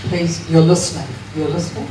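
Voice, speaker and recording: A woman's voice: deep voice, steady tone, brisk. New woman moderating, echoey and noisy. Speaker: forum moderator. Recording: echoey room from far off with noise.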